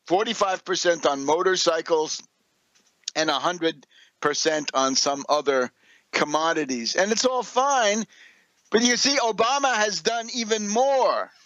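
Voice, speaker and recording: Male radio commentator, higher-pitched voice, dry sound, argumentative, sarcastic, rhetorical questions, stop-start unscripted delivery. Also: a bit bright, dry recording, muffled recording.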